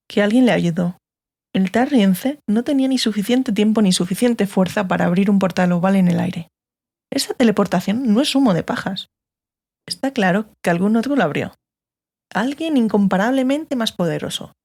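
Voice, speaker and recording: Fantasy audiobook sorceress, female actor: stern, dry, authoritative, mid-pitched with plenty of vocal fry. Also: darkish tone. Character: stern, sardonic, commanding sorceress. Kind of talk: dramatized fantasy audiobook dialogue.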